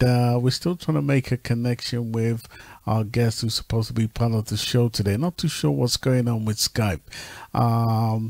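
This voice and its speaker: A man's voice: on the low side, darkish tone, dry recording. Dry-voiced male talk show host. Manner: halting, many fillers, apologetic about connection trouble. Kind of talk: casual radio talk over a call.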